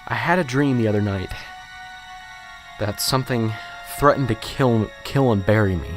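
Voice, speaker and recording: A man's voice: on the low side, moderate pace, lightly acted. A man playing a nervous, unsure professor in a radio drama, stammering and hesitant. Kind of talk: amateur radio drama acting. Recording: radio drama with music underneath.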